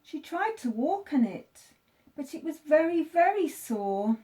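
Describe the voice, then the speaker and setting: A woman teacher reading a children's story aloud, with expressive, deliberate phrasing for young listeners. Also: high voice, low-quality recording.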